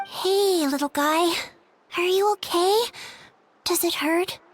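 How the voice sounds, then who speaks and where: A woman's voice: very high voice, soft background music. A woman voicing a small, chirpy, curious fairy companion with a childlike voice in a video game. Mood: concerned. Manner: refers to self in third person, slow and exaggerated.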